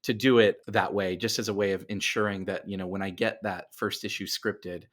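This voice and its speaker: A man's voice: on the low side, fairly even intonation, normal speed, a bit bright. A middle-aged man talking casually as a writer being interviewed, animatedly explaining things and often repeating words as he goes. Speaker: writer and podcast guest.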